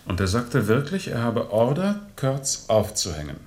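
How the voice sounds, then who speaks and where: An actor playing a sober, probing questioner in a radio drama, terse and insistent with the witness. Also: male voice, mid-range voice, wildly swooping pitch, moderate pace, darkish tone. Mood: sober scrutiny.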